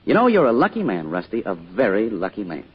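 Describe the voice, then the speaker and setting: A man's voice: fairly low pitch, huge pitch swings, brisk, noisy background. A man playing a loving, wistful small-town father in an old-time radio drama, with a folksy, reflective delivery. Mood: fond. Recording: muffled old radio recording.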